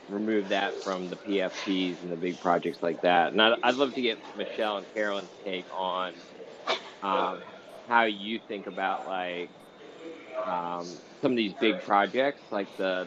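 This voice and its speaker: A man's voice: low voice, moderate pace, heavy background noise. A man hosting a casual interview in an online audio room, asking curious questions in a rambling way. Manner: rambling, inquisitive, frequent "like". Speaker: interviewer, host. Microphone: phone or computer in an online audio room. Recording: dull lo-fi online voice chat.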